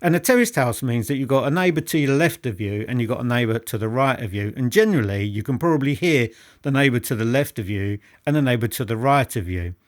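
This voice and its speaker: A man presents a product review in an unscripted, enthusiastic way. His mid-pitched voice rises and falls in a very animated fashion. He stresses and repeats phrases, often questioning the listener directly. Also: fast talker. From United Kingdom. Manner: emphatic, repetitive, rhetorical questions to the listener.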